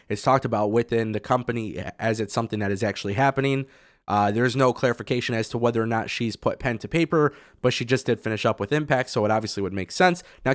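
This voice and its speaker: Raspy-voiced man with a cold, chatty. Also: medium pitch, a bit bright, no echo, studio quality. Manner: chatty and opinionated, with many fillers. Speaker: wrestling news host. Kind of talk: casual news commentary.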